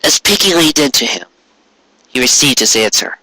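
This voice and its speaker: Very bright-voiced man narrating a story. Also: medium pitch, expressive, normal speed, dry recording, poor audio. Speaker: amateur audiobook narrator.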